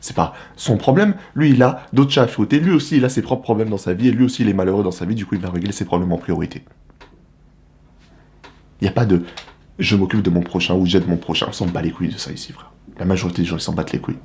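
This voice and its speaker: A young man with a fairly low voice delivers a casual vlog monologue. He talks in an animated, slangy way and keeps throwing rhetorical questions at the viewer. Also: fast talker, a little hiss or hum. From Republic of the Congo. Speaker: vlogger.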